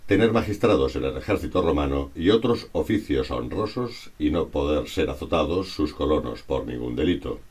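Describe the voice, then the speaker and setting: A man's voice: rumbling low, a little mellow. A man reads a scripted historical narration in a formal, expository way. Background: music.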